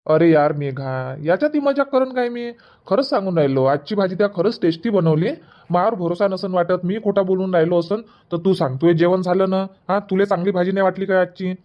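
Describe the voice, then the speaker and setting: A man's voice: high-pitched, a little fast, muffled recording, lightly acted. A man voicing an easygoing young husband in a comedy cartoon, who reassures his wife and keeps finding excuses. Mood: coaxing. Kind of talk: Marathi comedy cartoon dub.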